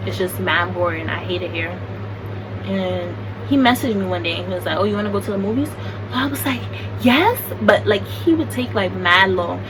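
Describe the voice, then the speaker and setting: Chatty young woman, distant noisy mic. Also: on the low side. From United States. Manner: chatty, animated, slangy. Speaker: vlogger. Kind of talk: casual storytime. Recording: distant mic with background noise.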